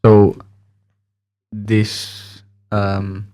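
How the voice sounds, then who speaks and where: A male podcast host who speaks English as a second language, asking questions in a halting way with many restarts. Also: lowish voice, monotone, dark tone. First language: not English. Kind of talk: casual interview questions.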